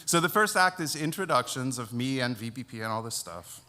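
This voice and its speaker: A Dutch man speaking English with an American-sounding accent, presenting a technical conference talk. His voice sits at an ordinary middle pitch. He explains things in a relaxed, self-deprecating way. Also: fairly quick. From Netherlands. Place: conference hall.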